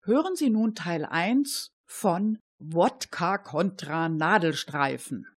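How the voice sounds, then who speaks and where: A woman's voice: fairly low pitch, lively intonation, slow. A woman hosting a radio show and narrating a scripted crime story.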